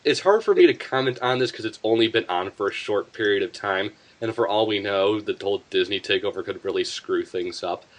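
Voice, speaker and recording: A man's voice: on the low side, a little fast, hardly any pauses. A man co-hosting a casual group commentary, giving blunt, opinionated takes on a list.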